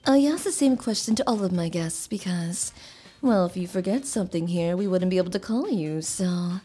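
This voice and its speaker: A woman playing a polite, soft-spoken and hesitant lodge keeper in her early thirties in a manga dub. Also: fairly low pitch, lively intonation, normal speed, husky.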